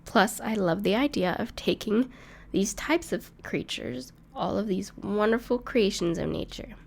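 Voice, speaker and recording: A woman playing a gentle, bashful dragon in human form who loves cuddly things, speaking tenderly and reassuringly in an intimate audio roleplay. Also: medium pitch, lively intonation, a little slow, creaky. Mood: earnest, wistful. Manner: tender, reassuring, playful, slightly hesitant. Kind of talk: soft-spoken intimate audio roleplay to the listener.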